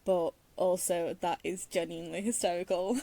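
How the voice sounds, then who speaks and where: A woman's voice: on the low side, little pitch movement, normal speed, gravelly, mellow. A woman giving casual reaction commentary while playing a video game, in a giggly, hesitant, rambling way.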